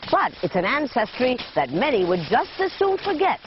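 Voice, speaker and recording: A woman explaining things clearly in an interview. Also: on the high side, very animated, normal speed, lo-fi sound, noisy background.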